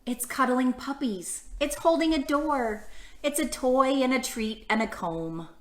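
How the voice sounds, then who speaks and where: A woman hosting a children's storytime, reading a picture book aloud and chatting to young listeners with gentle questions. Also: high-pitched, unhurried. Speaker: children's storytime host and librarian. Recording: echoey room sound.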